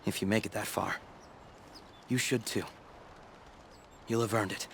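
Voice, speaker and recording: An actor playing an earnest, humble apprentice shaman in a video game, speaking in a measured, solemn and formal way. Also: on the low side, fairly even intonation, vocal fry, slight background noise. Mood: hopeful, solemn. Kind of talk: video game cutscene acting.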